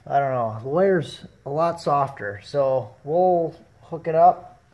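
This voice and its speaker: A young man talking through a welding project in his home garage, relaxed and thinking aloud as he works, recorded on a camera mic that drifts near and far. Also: on the high side, slow pace. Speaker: DIY welding vlogger. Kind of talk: casual how-to narration. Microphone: camera mic, moving between close and far.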